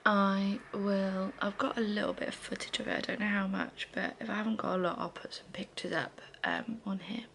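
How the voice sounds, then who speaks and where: A woman's voice: moderate pace, few pauses, a little hiss or hum. A woman in her thirties whispers a casual morning vlog into a close camera in her living room. She is tired and congested. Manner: soft whisper, tired and low-key. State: congested and fatigued. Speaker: vlogger. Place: living room at home, indoors. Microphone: camera close to the face.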